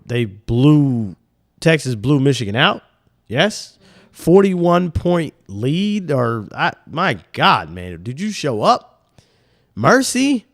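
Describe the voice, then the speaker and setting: A man's voice: medium pitch, no room sound, studio quality. A young man with a slightly nasal voice, hosting a sports podcast in loose, rambling casual talk. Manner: loose, animated, rambling with slang.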